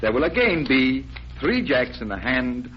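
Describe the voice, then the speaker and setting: A genial, self-assured American stage magician from 1940s radio. His mid-pitched voice moves up and down with showman flair as he instructs deliberately. The old recording is muffled and noisy. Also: very animated. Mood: genial, explaining.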